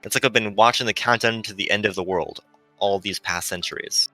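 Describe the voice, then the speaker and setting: A man voicing a gentle, melancholy female shuttle AI, earnestly explaining as it fades in a visual novel. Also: fairly low pitch, a little fast, dry recording, faint music behind, rough recording. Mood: regretful.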